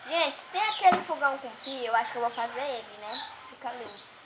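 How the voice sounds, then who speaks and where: A young girl with a high voice chats her way through a homemade craft tutorial, talking to her viewers as she cuts and draws. The recording is noisy, with chickens and a rooster clucking and crowing in the background. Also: animated, brisk. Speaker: crafts vlogger.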